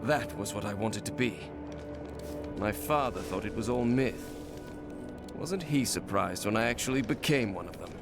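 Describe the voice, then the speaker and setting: A man's voice: fairly low pitch, lively intonation, moderate pace, music in the background, lightly acted. A man playing an earnest, idealistic scholar of an ancient order in a video game, reflective and proud. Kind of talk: video game cutscene acting.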